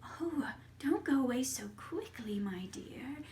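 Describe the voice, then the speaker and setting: A woman's voice: sits high, animated, darkish tone, slight background noise. A woman voicing a gnarled old witch in a fairy tale, falsely sweet and menacing, drawing out her words. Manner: drawn-out words, coaxing then shrieking. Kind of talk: live-style fairy tale storytelling.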